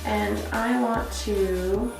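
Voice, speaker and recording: A woman's voice: medium pitch, fairly even intonation, extremely slow, creaky. A young woman giving a casual, chatty beauty tutorial in an effusive, rambling way, as host. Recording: roomy echo, music behind. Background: music.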